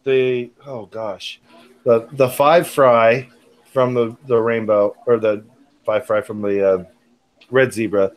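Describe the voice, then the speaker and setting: A man's voice: mid-range voice, steady tone, slow. A man with a West Coast accent chatting casually on a group video call, rambling and repeating himself. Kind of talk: casual chat.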